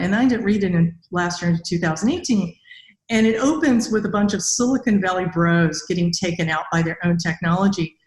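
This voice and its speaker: An older woman hosting a conversational podcast interview as a robotics researcher. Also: mid-range voice. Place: indoor room with bare walls. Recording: echoey room on video call.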